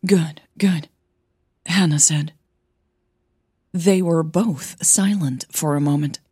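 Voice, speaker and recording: Low, slow, husky female storyteller. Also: mellow, no room sound, clean studio sound. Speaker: narrator. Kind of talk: scripted audio fiction narration, with dialogue.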